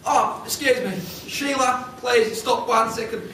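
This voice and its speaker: A man voicing a hurried male squirrel who speaks in short, clipped, pleading bursts. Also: very high voice, sharp tone, voice pushed up, exaggerated voice. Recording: echoey room from far off, noisy.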